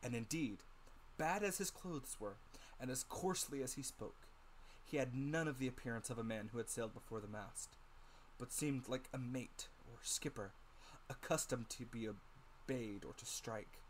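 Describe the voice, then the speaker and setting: A man's voice: mid-range voice, moderate pace, a little hiss or hum, rough recording. A man narrating a classic adventure novel as a dramatic audiobook reading.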